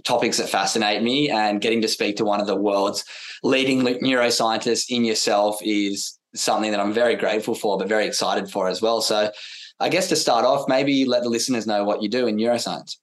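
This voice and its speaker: A man's voice: fairly low pitch, steady tone, brisk. A man hosting a podcast interview in an informal, enthusiastic way. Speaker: podcast host. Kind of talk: casual interview chat.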